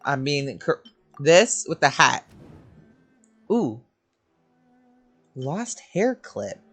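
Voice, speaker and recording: A gaming streamer giving casual, excitable, sweary reactions during a playthrough. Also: medium pitch, very slow, soft background music.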